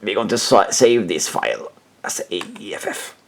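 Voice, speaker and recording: Halting low-voiced man with lively, swinging pitch. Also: creaky. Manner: halting, hesitant, searching for words. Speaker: host of a retro computer channel. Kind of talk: casual unscripted tech demonstration.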